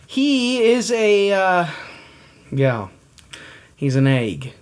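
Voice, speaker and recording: Slow, lively woman reading a storybook. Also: medium pitch. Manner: chatty asides, thinking aloud. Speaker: storybook reader. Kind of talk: reading a picture book aloud with commentary.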